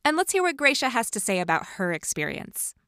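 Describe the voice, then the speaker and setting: A woman podcast host speaking in a measured, explanatory way, close to the microphone. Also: on the high side, big pitch swings, sharp tone, soft background music. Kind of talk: instructional podcast hosting.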